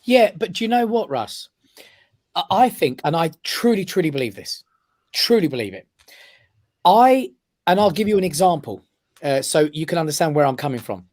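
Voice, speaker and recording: A man's voice: on the high side, very animated, normal speed, pauses often, a little mellow. A man, an emphatic and passionate radio guest. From England. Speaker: guest, comedy club owner. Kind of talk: casual radio interview. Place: radio studio.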